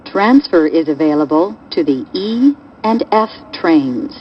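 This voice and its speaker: A woman with a lowish voice delivers recorded transit announcements in measured, segmented phrases. Her delivery is slow, and it plays inside a subway car through a dull recording with strong train noise. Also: expressive. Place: played over speakers inside a subway car.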